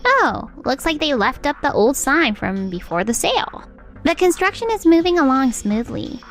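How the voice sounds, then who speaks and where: A woman's voice: high voice, very animated, moderate pace, faint music behind. A woman voicing a pushy, demanding young woman, a CEO's spoiled daughter, in a manga dub. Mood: coaxing. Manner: insistent, demanding.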